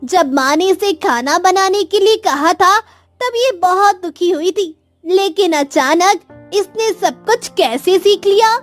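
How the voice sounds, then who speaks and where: Woman acting high-voiced, scornful, scheming in-law. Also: takes it slowly, no echo, soft background music. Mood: suspicious. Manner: haughty, scornful. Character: scheming, scornful mother-in-law and spiteful daughters. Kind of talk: cartoon moral story acting.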